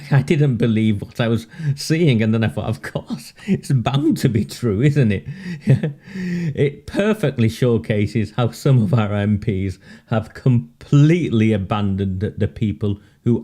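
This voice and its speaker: Low, mellow-voiced man ranting sardonically. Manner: sardonic, laughs often, emphatic repetition. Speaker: political commentary host. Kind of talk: casual live-stream monologue.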